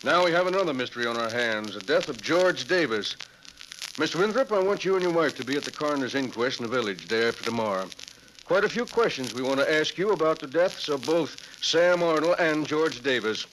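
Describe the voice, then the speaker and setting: A plain-spoken, suspicious sheriff in 1940s radio style. His voice is on the high side, firm and probing, with light vintage crackle behind it. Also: lively intonation, brisk, a bit bright. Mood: probing, stern. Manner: pointed, questioning.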